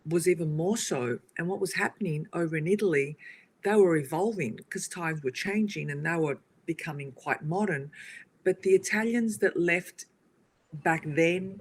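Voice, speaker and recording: A woman, a guest on a casual talk show, telling stories in an animated way with frequent laughter. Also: low-pitched, slightly rough. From Australia. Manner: animated storytelling, laughs often. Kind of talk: casual conversation on a video podcast.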